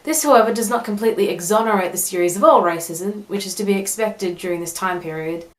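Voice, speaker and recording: A woman's voice: mid-range voice, fast talker, a little hiss or hum. A young woman presenting a scripted analysis as a student narrator. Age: young adult. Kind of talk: scripted video essay narration.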